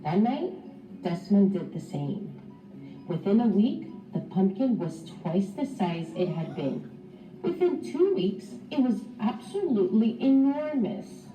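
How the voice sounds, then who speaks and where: A woman teacher reading a children's storybook aloud in an expressive, instructive way for young pupils. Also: mid-range voice, unhurried, faint music behind. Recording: roomy, distant mic, slightly dull.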